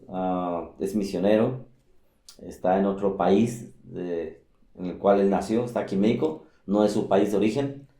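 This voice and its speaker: Faith podcast host, male; low and mellow, echoey room sound. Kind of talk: podcast interview hosting.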